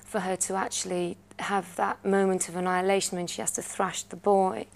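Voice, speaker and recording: Creaky-voiced woman discussing books earnestly. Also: mid-range voice, little pitch movement, brisk. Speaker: panel guest. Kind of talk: analytical book discussion. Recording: clear background noise.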